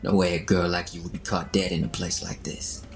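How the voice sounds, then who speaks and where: A very deep, gravelly male schemer in a crime audio drama. He is smooth-talking and menacing in an understated, insinuating way, keeping his delivery low and creaky. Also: flat delivery, a little fast, slight background noise.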